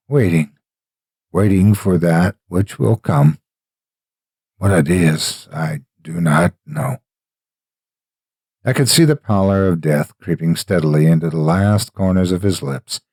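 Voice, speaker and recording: Husky man narrating with lively pitch. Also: no echo, clean studio sound. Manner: deliberate, theatrical phrasing. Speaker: horror story narrator and host. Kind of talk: dramatic scripted narration. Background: faint music.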